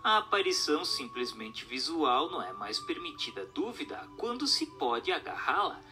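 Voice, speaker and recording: A man's voice: fairly high pitch, very animated, normal speed, pushed and bright. A Brazilian man reading a book aloud as scripted narration, in a formal, didactic manner. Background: background music.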